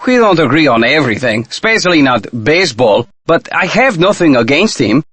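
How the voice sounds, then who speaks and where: An actor playing a proud, indignant Mexican diplomat in a video game, with a Mexican Spanish accent and formal, precise, theatrical diction. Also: male voice, fairly high pitch, big pitch swings, a little fast, a bit bright, husky, dry recording, heavily acted. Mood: smooth, courteous. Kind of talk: video game character dialogue.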